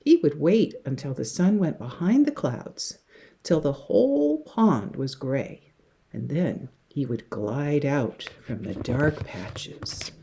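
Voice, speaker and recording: A woman reading a picture book to young children in an expressive, dramatic way, with hushed passages and emphatic words. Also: deep voice, takes it slowly, gravelly, breathy edge. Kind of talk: expressive children's story read-aloud.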